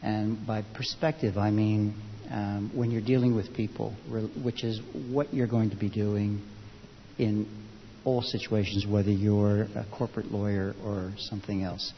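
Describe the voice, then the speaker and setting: A man speaking to a group in a thoughtful, unscripted way, with a measured, halting, reflective manner, recorded in a large reverberant room. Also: lowish voice, level tone. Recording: dull, hissy, echoey hall recording.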